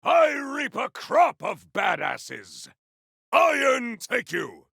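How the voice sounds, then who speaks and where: A man playing a zealous, boastful, battle-hungry warrior champion in a video game, with a raspy voice and bombastic, archaic delivery. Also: very high voice, wildly swooping pitch, drawn-out words, sharp tone, no echo, raised voice, clean studio sound, heavily acted. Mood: battle fury. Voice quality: gravelly, raspy. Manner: bombastic, archaic, theatrical declamation. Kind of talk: video game combat barks.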